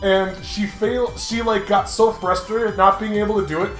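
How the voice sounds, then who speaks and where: A young man hosting a gaming show, chatting casually and telling animated, jokey stories with frequent laughter. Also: high voice, moderate pace, soft background music, low-quality recording. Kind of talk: casual let's-play commentary with dramatic read-alouds.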